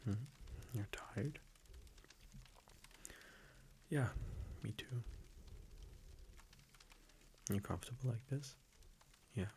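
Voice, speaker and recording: A man playing a tender, teasing vampire boyfriend in an intimate ASMR audio roleplay, soft-spoken and breathy, with slow, lingering pauses. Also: mid-range voice, a little hiss or hum, natural voice. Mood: gently teasing. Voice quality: breathy, whispery.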